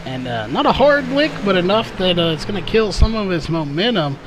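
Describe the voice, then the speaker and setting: A man's voice: sits high, very animated, a little fast, background noise. A man doing live play-by-play race commentary, full of fillers and self-corrections, his voice a little worn. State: slightly losing his voice.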